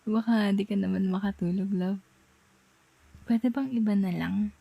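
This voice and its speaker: A young Filipino woman playing a tender, playful girlfriend in an intimate ASMR roleplay, murmuring softly and coaxingly close to the mic. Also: medium pitch, steady tone, a little slow, vocal fry, slightly rough, dry recording. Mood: coaxing, giving in. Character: tender, playful girlfriend soothing partner to sleep. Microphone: close microphone.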